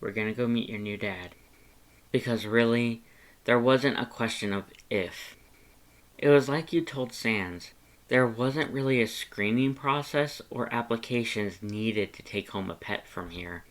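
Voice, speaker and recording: Medium-pitched man narrating a story. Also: measured pace. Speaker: fan fiction narrator. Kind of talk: scripted second-person story narration.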